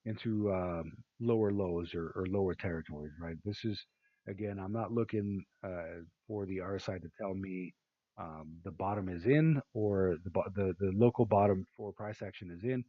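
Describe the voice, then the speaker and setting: A man with a rather low, raspy and tired voice talks through price charts in a loose, rambling way, full of "uh"s and restarts. The sound is thin and tinny, like a phone line. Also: normal speed. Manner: rambling, many fillers and restarts. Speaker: crypto chart analyst. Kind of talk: casual screen-share market analysis.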